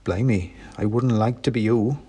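A man's voice: lowish voice, normal speed, dark tone, breathy edge. A man doing a cheeky raven. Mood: gossipy. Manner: gossipy, nosy, blunt slang. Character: chatty, cheeky male raven.

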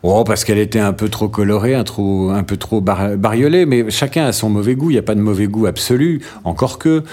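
A middle-aged man from France with a somewhat low voice answers interview questions in a slow, musing way. He pauses often and starts over, dropping a little dry humor along the way. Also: a little mellow. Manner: thoughtful, many "euh" pauses and restarts, dry humor. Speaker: interview guest. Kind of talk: hesitant conversational interview.

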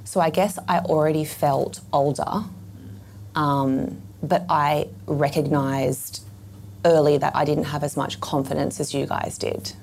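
A woman in her thirties with a croaky voice, reflecting thoughtfully on her past. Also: low-pitched, fairly even intonation, unhurried, darkish tone, slight background noise. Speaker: guest. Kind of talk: reflective conversation.

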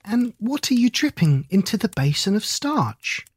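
A woman's voice: medium pitch, sing-song, a little slow, darkish tone, breathy edge, dry recording, voice pushed up. A woman voicing a curious, polite little girl who keeps asking questions.

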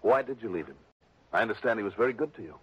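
An actor playing a wry, probing investigator who also narrates, in a 1960s radio drama. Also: male voice, lowish voice, expressive, racing, breathy edge. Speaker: lead and narrator. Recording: dull lo-fi old radio broadcast.

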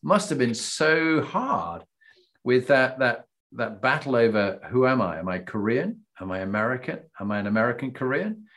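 A male interviewer leads a casual conversation, asking his questions in a hesitant way. He restarts his sentences often and fills pauses with "um". His voice sits at an ordinary middle pitch. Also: lively intonation, fairly bright tone.

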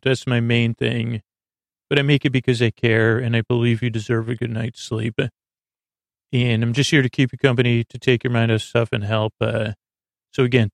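A man hosting a sleep podcast with a meandering, halting bedtime monologue, often trailing off and repeating himself. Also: mid-range voice, dry recording, clean studio sound. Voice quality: creaky.

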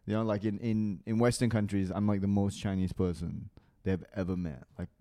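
A man in his thirties, a comedian from Malaysia raised in Singapore, speaking with an international school accent in animated, laughing chat full of swearing. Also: on the low side, brisk. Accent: international school English. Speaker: comedian guest. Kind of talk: casual chat.

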